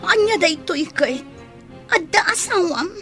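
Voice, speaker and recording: Radio drama mistress: reproachful, demanding, extremely high and plaintive. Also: female voice, expressive, moderate pace, music in the background. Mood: hurt, tearful.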